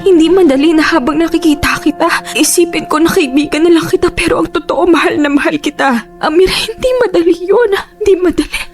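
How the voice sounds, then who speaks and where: A high, quick-voiced young woman in love, heartbroken and reproachful. She pleads with pressing questions in an emotional radio romance style, with faint music behind her. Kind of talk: Tagalog radio romance drama acting.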